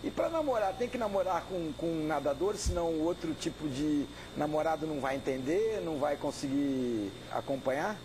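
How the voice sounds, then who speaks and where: A man's voice: on the high side, expressive, moderate pace, few pauses, background noise. A man hosting a sports talk show, teasing his guest with playful banter. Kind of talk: relaxed studio interview.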